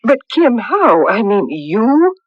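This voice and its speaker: A woman's voice: on the high side, slow, slightly rough, dry recording, full character voice. An actress playing a polite, easily flustered middle-aged village mother in a radio drama. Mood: shocked, anxious. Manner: expressive, flustered, genteel. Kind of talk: 1980s radio drama acting. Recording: dull lo-fi radio broadcast recording.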